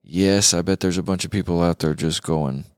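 A man's voice: sits low, steady tone, moderate pace, clean tone, no echo, studio quality. An American man hosting a podcast, chatting casually about music in a laid-back, slangy way. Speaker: podcast host.

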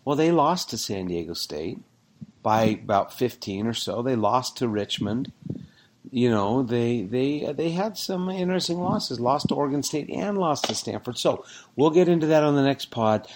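Male podcast co-host, loose and jokey, fires up over stats, average pitch. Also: lively intonation, normal speed, noisy background. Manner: rambling, jokey, gets worked up over stats. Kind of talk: casual sports podcast chat.

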